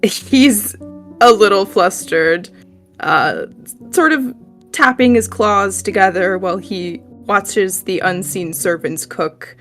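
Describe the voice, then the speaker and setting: A woman's voice: mid-range voice, slow pace, soft background music. A woman playing a tabletop game, narrating her character's actions in descriptive third person. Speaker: player.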